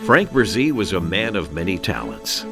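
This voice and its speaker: A man's voice: sits low, huge pitch swings, normal speed, creaky, music in the background. A man reading scripted narration for a documentary.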